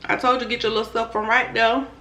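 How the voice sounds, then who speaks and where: A hoarse woman hosting an eating show, chatting casually and talking to viewers as y'all between bites. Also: a little high, level tone, fast, bright, forceful tone, a little hiss or hum. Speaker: mukbang host. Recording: echoey room.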